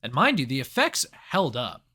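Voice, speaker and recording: Male co-host, easygoing, filler-heavy and profane, average pitch. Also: wildly swooping pitch, moderate pace, sharp tone. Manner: laid-back, swears often, says "like" a lot. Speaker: podcast co-host reviewing movies. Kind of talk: casual movie review chat.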